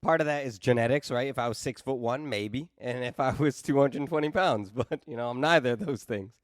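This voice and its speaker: A man's voice: medium pitch, a little fast, no room sound, studio quality. A man in his thirties hosting a podcast, talking in a flippant, teasing way and telling stories at length. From New England. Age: 30s. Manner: teasing, flippant, animated storyteller. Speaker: podcast host. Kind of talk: casual chat.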